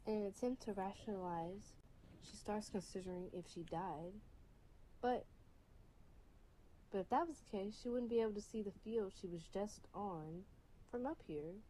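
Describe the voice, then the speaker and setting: A woman's voice: medium pitch, normal speed, long pauses, mellow, slight background noise, low-quality recording. A woman reading a scripted fairy tale as its storyteller. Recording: faint music bed under voice.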